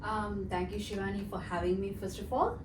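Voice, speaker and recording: A woman's voice: medium pitch, little pitch movement, a little fast. A young woman from Sri Lanka, a project coordinator, chatting casually in an interview with lots of "like" and "um". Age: young adult. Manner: chatty, uses many fillers like "like" and "um". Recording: echoey room, mic at a distance, noisy.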